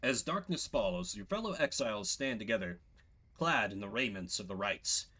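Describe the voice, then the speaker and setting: A man streaming a video game, switching between casual commentary and expressive reading of the story text, playful in manner. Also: fairly low pitch, moderate pace. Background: game music.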